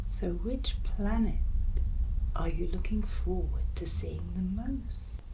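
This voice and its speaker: A woman voicing a friendly, eager, slightly muddled alien in an ASMR exam roleplay, whispering gently and attentively with some hesitation. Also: fairly low pitch, slow, background noise. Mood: gentle, attentive.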